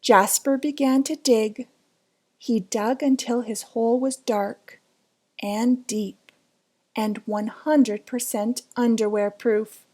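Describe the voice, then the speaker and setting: Female children's storybook reader, higher register, unhurried, dramatic and suspenseful. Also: steady tone, mellow. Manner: dramatic, suspenseful delivery with drawn-out words. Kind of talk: children's picture book read-aloud.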